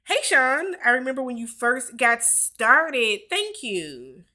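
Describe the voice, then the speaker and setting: Chatty woman host in her forties, bright and slightly high voice, loose livestream Q&A. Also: big pitch swings, takes it slowly. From Milwaukee, Wisconsin. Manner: chatty, laughs often, reads viewer comments aloud. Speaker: YouTube host. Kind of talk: casual livestream Q&A chat.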